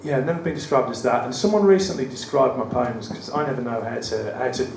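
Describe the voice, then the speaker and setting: A man with a West Midlands accent and a croaky voice, reading his poems at a live event with wry, self-deprecating banter. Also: mid-range voice, fast talker, darkish tone, recorded from a distance. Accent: West Midlands English. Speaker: performance poet. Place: studio theatre at a live cabaret event. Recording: roomy live venue, mic from afar, audible room noise. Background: audience ambience.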